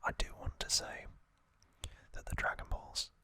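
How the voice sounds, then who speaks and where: Male ASMR host, breathy whisper throughout, bright and crisp on the sibilants, unhurried, gentle trivia recap. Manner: slow, gentle delivery in short, drawn-out phrases.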